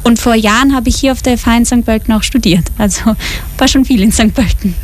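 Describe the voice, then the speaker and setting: A young Austrian woman with a somewhat high voice explains her youth project as a radio guest. She speaks clearly and informatively. A faint hiss lies behind her. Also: fast. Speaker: project coach. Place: radio studio.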